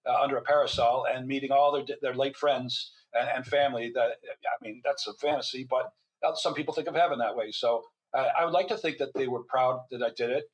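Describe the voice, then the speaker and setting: A man's voice: mid-range voice, steady tone, fairly quick, low-quality recording. An older man speaking as an interview guest, telling anecdotes in a rambling, conversational way. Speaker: guest author and physician.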